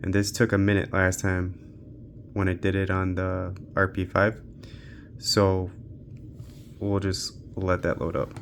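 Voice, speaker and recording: Lower-pitched, gravelly man thinking aloud. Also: monotone, measured pace, long pauses, a little hiss or hum. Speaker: tech reviewer hosting a handheld gaming channel. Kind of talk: unscripted casual walkthrough commentary while testing games.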